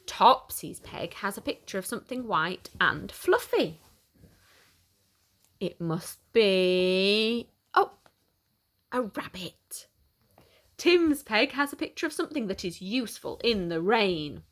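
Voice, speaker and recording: A woman reads a picture book aloud for young children. Her mid-pitched voice moves up and down a great deal, and her delivery is expressive and simple, as if speaking straight to small listeners. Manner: animated, simple questioning aimed at small children. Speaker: children's story reader.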